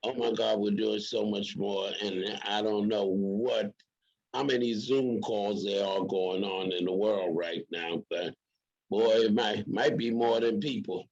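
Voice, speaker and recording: Male program host, gravelly and rough-edged, emphatic and repetitive in casual interview talk. Slightly muffled call sound. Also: lowish voice, fairly even intonation, a little slow, darkish tone.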